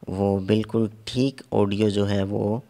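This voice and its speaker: A man narrating a hands-on repair tutorial step by step, halting and repeating himself as he works. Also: fairly low pitch, steady tone, warm tone. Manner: halting and repetitive, pausing while working. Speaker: repair technician giving a tutorial.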